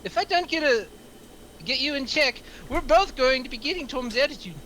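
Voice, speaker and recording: A man's voice: very high-pitched, expressive, moderate pace, pushed and bright, slight background noise, heavily acted, poor audio. A man playing an irritable, foul-mouthed criminal in an amateur script reading, with an exasperated manner. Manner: exasperated, sweary.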